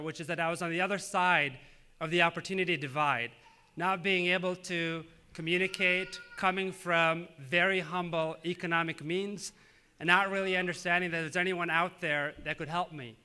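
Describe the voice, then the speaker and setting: Man, 30s, from Tanzania, native Swahili speaker, public speech, earnest and measured, very bright, slightly high-pitched. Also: fairly even intonation, clean tone. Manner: earnest, measured, with frequent "uh" fillers. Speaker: government official giving a speech.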